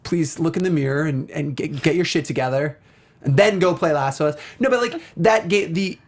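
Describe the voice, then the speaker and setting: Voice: medium pitch, a little fast. A talk show host in a casual review discussion, speaking with gushing enthusiasm and often rambling off mid-thought.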